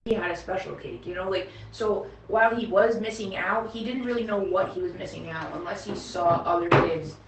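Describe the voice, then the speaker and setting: A woman's voice: medium pitch, a little fast, hardly any pauses, a crowd in the background. Late-20s female stream host, casual and chatty, roomy distant sound. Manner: chatty, laughs easily, addresses the viewers. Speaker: livestream host. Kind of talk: casual chat with a friend. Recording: roomy, distant mic with faint background noise.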